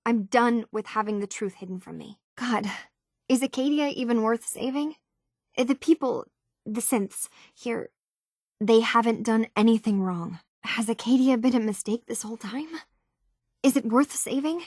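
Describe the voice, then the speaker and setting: An actress playing an earnest, troubled young woman searching for answers in a video game, speaking in a halting, hushed way. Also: on the high side, no echo, clean studio sound, lightly acted. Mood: determined, earnest, then troubled, pleading.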